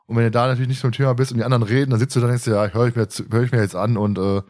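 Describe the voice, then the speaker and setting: A man's voice: mid-range voice, steady tone, racing, a little mellow. A man chatting casually as a podcast host.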